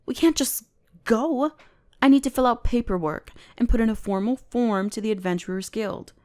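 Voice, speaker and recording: A woman's voice: a little high, normal speed, a little mellow, close to an everyday voice. A woman playing a bratty, haughty female elf mage, bossy, exasperated and condescending, in a scripted roleplay audio drama. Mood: flustered, indignant.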